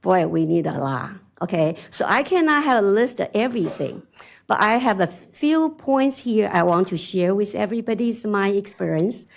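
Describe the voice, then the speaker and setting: A middle-aged woman with a strong Chinese accent speaks at a conference, talking in a lively, chatty way and checking in with "right?" and "okay?". Her voice is mid-pitched with a gravelly edge. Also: moderate pace. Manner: animated, chatty, laughs often, asks "right?". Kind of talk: conference talk. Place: conference hall.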